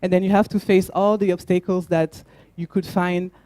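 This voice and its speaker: A young adult woman with a French accent, a startup founder giving an earnest talk, often pausing with fillers and restarting her words. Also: on the low side, flat delivery, mellow, dry recording. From France. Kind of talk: conference talk.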